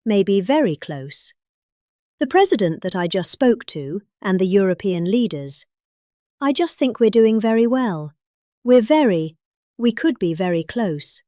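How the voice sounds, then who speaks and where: A middle-aged British woman delivers a political speech as a theatrical reading. Her intonation is lively, rising and falling widely with exaggerated emphasis. She sounds close and dry, with no room around her voice. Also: medium pitch, measured pace, no room sound. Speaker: reader of a speech.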